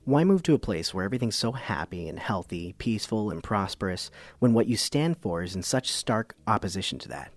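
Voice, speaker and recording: An actor playing an earnest, somewhat puzzled public radio host, narrating and interviewing in an audio comedy. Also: male voice, fairly low pitch, sing-song, brisk, few pauses, dry recording. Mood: measured, wry.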